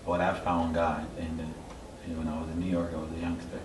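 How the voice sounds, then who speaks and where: A middle-aged man from Haiti giving a personal testimony as an interview guest, halting and searching for his words. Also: low voice, monotone. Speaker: interview guest, church member. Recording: echoey, distant mic, clear background noise.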